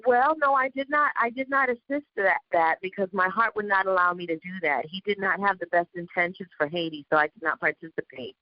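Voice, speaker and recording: A woman at a middle pitch who speaks calmly and with full assurance as a spiritual guest. Her voice is dry, with no room sound, and the audio is dull and lo-fi like a call. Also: brisk, few pauses, no echo. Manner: calm and self-assured. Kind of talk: spiritual advice in conversation.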